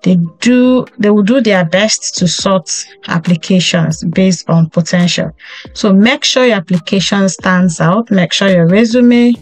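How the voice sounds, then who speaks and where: A woman's voice: mid-range voice, a little slow, soft background music. A woman hosting a career tips channel, walking through a job listing on screen and reading its lists aloud with occasional ums and restarts. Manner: reads items in list form, with frequent ums and restarts. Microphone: close desk mic, voice-over on a screen recording.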